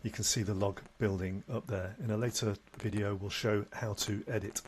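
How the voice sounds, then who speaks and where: A man with a fairly low voice presents an informal, step-by-step software tutorial. He is matter-of-fact and slightly halting as he demonstrates. There is background noise with some clicking behind the voice. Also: fairly even intonation.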